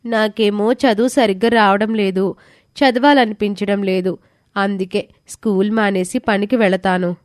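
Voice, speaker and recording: A woman voicing a gentle, responsible schoolgirl, an elder sister in an animated moral story. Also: medium pitch, measured pace, no echo, clean studio sound, close to an everyday voice. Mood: earnest, resigned.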